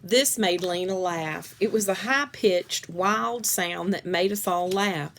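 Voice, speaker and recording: Unhurried woman reading a story. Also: fairly low pitch, gravelly, fairly bright tone. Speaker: reader reading a children's book aloud. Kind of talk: scripted narration, read-aloud storytelling.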